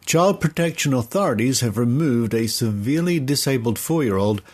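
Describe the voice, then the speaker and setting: An Australian man hosting a podcast, reading news and commentary in measured, deliberate phrases. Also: medium pitch, animated, darkish tone. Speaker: podcast host. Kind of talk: scripted news commentary, reading reports aloud.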